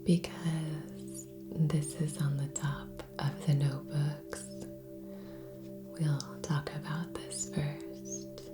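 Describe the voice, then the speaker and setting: A woman speaking soft, breathy ASMR in a near-whisper, gently hosting with long pauses between short phrases. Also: sits low, flat delivery. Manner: slow, gentle, hushed delivery with long pauses. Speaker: ASMR channel host. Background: relaxing music with singing bowl tones.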